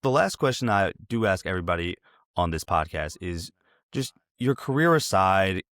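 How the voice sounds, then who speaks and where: A man's voice: low voice, sing-song, moderate pace, fairly bright tone, no echo. A man hosting a podcast, asking questions in a conversational interview style.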